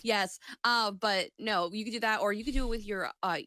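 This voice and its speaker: A woman co-hosting a podcast with casual banter, animated and playful, swearing freely. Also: medium pitch, a little fast, dry recording, clean studio sound. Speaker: podcast co-host.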